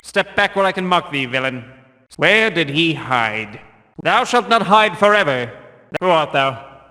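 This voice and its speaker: An actor playing a zealous guard of a stern religious order in a video game, speaking solemn, pious, archaic English. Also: male voice, a little high, animated, measured pace, pushed and bright. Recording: long cavernous reverb.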